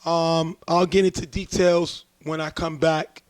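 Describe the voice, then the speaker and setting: A man hosting a casual sports talk show, a bit hoarse as he loses his voice, breaking down plays in a chatty way. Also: on the high side, a little slow, no echo. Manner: relaxed, chatty play-by-play breakdown. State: losing his voice. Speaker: show host, sports commentator.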